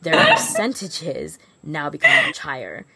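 A woman co-hosts a podcast in a casual, conversational style. Her voice sits low and is strongly creaky, with a husky grain throughout. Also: wildly swooping pitch, a little slow, bright, forceful tone. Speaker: podcast co-host. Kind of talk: casual chat.

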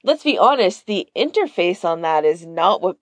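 A woman's voice: fairly low pitch, big pitch swings, normal speed, no room sound. A woman podcast host explaining things informally in a casual group chat.

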